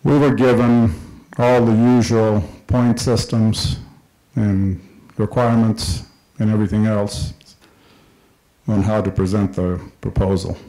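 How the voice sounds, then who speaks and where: Middle-aged man from Kenya, handheld mic, large indoor hall, emphatic public comment, mellow low-key tone. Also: steady tone, a little slow. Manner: impassioned, emphatic, repeats key points. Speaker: developer speaking at a public listening session. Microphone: handheld microphone.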